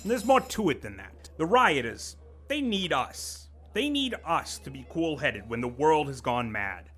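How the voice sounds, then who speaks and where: A man's voice: on the high side, sing-song, measured pace, music in the background. A man playing a pompous, sneering corporate boss. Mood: lecturing. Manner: pompous, condescending, lecturing. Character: pompous middle-aged male corporate boss, menacing and sly. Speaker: office vice president. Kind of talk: audio drama acting.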